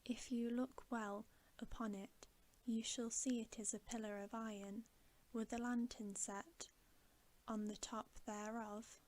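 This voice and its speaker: A woman voicing a thoughtful young king in a storybook, softly, with grave, formal, musing phrasing. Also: on the high side, little pitch movement, measured pace, slight background noise, lightly acted, rough recording. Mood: measured. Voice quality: soft, hushed.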